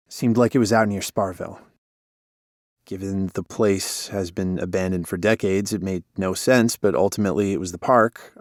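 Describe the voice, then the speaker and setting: An actor playing a guilt-ridden, defensive former park warden in an audio drama, telling things in a weary, halting way. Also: male voice, low-pitched, no room sound, studio quality. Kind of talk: cinematic audio drama acting, naturalistic.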